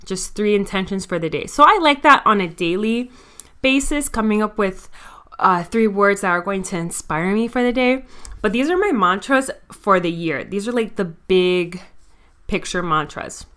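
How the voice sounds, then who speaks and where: A young woman in her twenties hosts her own podcast, talking to listeners in a candid, confiding way. Her voice is bright and forceful, smooth and crisp, with a mild stutter now and then. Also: mid-range voice, lively intonation, moderate pace. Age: 20s. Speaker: podcast host. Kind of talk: casual personal monologue.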